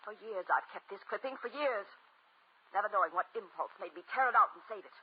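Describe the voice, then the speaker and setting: A woman's voice: medium pitch, lively intonation, brisk, breathy edge. An actress playing a wealthy, ruthless young woman in a 1940s radio drama, pressing her demands in an intense, commanding way. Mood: ruthless, obsessed. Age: young adult. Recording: muffled, scratchy 1940s radio recording.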